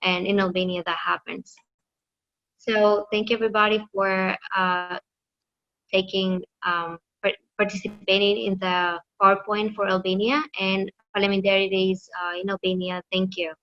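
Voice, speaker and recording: A woman's voice: medium pitch, level tone, moderate pace, muffled recording. Hesitant woman. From Greece. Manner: hesitant, many fillers and restarts. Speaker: student presenter. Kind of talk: class presentation.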